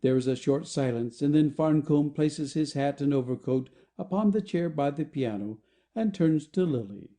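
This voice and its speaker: A man's voice: a little high, moderate pace, warm tone. A man narrating an audio play, reading out scripted stage directions.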